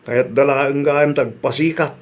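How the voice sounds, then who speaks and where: A man's voice: medium pitch, fairly even intonation, a little slow, lightly acted. A man playing a sympathetic grown son in a family radio drama, conversational, with an Ilocano accent. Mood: disapproving.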